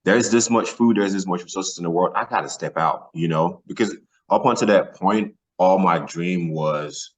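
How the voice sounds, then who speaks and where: A man's voice: low voice, normal speed. A man from northern Nigeria, a podcast guest, telling his own story in a casual, colloquial way. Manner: colloquial, peppered with "man" and "you know". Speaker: podcast guest, former athlete.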